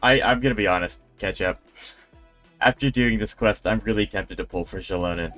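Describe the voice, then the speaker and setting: A man's voice: fairly low pitch, normal speed, fairly bright tone, no echo. A man chatting casually as a game streamer, rambling in a conversational way. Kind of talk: casual livestream chat. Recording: game music behind voice. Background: video game music.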